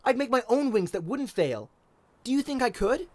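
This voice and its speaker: A man's voice: very high voice, sing-song, normal speed, darkish tone, no echo, pitched above the performer's own voice, full character voice. A man voicing an eager, naive young boy apprentice, earnest and insistent, in a radio-play style story. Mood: curious, eager.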